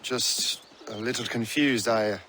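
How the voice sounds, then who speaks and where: An actor playing a brooding young captain, a former assassin, hesitant, in a cinematic video game. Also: male voice, mid-range voice, steady tone, unhurried, bright, forceful tone, a little hiss or hum. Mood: guarded.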